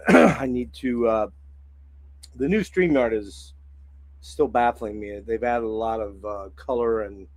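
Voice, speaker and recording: A man hosting a casual livestream in a hoarse, phlegmy voice, rambling with many filler words and frequent throat clearing. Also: medium pitch, darkish tone, dry recording. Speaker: livestream host.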